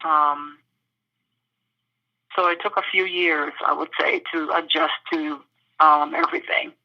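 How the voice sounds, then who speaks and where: A woman from the British Virgin Islands answering interview questions thoughtfully, pausing often with fillers. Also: deep voice, fairly even intonation, highs cut off. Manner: thoughtful, with many pauses and fillers.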